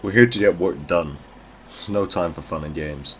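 A man acts out a no-nonsense drug dealer in an audio drama. His voice is low and gruff, his delivery dry and dismissive, over faint vehicle noise. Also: moderate pace, close to an everyday voice. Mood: stern.

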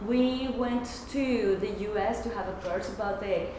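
Young woman from Mexico, student presenter, earnest and anecdotal; far camera, echoey classroom, steady hiss. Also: medium pitch, unhurried. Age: young adult. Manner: earnest, anecdotal, light humor. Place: classroom at a lectern.

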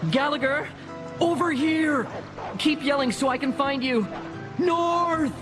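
An excitable boy adventurer from an audiobook story, acted out by a man. His voice is pushed high above the narration, urgent and exclaiming. Music plays clearly behind him. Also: takes it slowly. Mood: desperate shouting.

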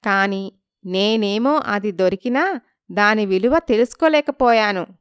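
A woman voicing a wronged young village wife in a story, polite and pleading but quietly determined. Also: medium pitch, measured pace, clean tone, no room sound, clean studio sound, lightly acted. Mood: meek, persuasive. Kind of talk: dramatised story acting.

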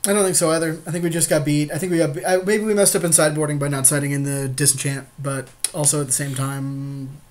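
A man with a slightly high voice chats casually over a game with a partner. His talk is slangy, and he likes to repeat a phrase to drive it home. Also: level tone, fast talker, few pauses. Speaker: podcast co-host and card game player.

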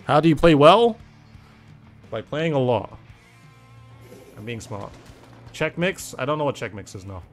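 A man's voice: medium pitch, animated, a little slow, pauses often, soft background music. A man with an English accent chatting casually with his viewers while he plays a game, answering their questions and often calling them "dude". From England. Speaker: game streamer. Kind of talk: casual live-stream chat while gaming.

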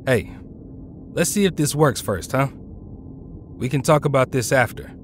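A man voices a loyal teenage hero with a medium-pitched voice. He sounds casual and slangy, supporting a friend in audio drama style, over faint background ambience. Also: measured pace, a little hiss or hum, close to an everyday voice. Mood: sincere, encouraging.

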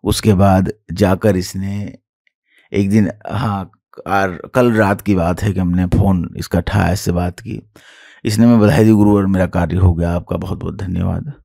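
Deep, gravelly man, husky guru. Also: mellow, no room sound, studio quality. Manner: emphatic, repetitive, rhetorical questions. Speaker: tantric guru. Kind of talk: spoken introduction and explanation to followers.

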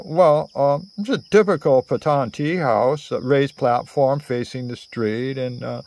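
A man in his sixties telling a rambling, improvised story in a theatrical, halting way, full of digressions and pauses. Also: medium pitch. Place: outdoors under cover among greenery. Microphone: phone at arm's length.

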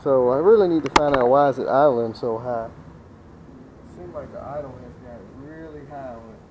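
A man's voice: mid-range voice, normal speed, a little mellow. A man talking casually and folksily, thinking aloud as he works on a repair outdoors, on a camera mic at changing distance. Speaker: DIY mechanic vlogger. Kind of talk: casual hands-on repair vlog. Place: outdoors on a residential driveway. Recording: noisy outdoor camera audio.

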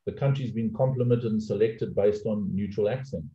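A man's voice: fairly low pitch, moderate pace, dark tone. A middle-aged man with a South African accent, speaking emphatically on a webinar panel with frequent restarts, over a video call. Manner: emphatic, repeats words while restarting. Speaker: outsourcing panelist. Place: home office, video call. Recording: echoey room, dull lo-fi call audio.

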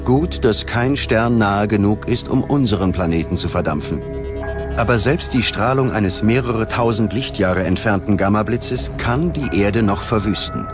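A man reads scripted documentary narration in a measured, dramatic manner. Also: lowish voice, music in the background.

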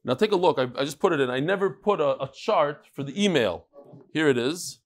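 A middle-aged man teaching a daily Talmud class, reading emails aloud with lively asides to the room and Hebrew and Yiddish terms. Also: fairly high pitch, fairly quick. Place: large study hall with wood paneling.

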